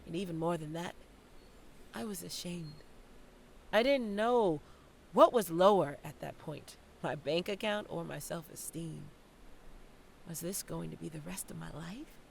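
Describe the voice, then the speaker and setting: An actress playing a wry, candid young theater graduate in a dramatic first-person audio play monologue addressed to the audience. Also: female voice, lowish voice, a little slow, gravelly, long pauses, slight background noise. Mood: ashamed, bitter. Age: young adult. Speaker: actor narrating an audio play.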